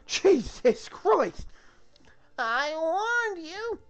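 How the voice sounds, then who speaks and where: A reader voicing a scolding male wizard who nags the knights in a high, drawn-out voice. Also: big pitch swings, a bit bright, soft background music, exaggerated voice. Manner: nagging, drawn-out, high put-on voice.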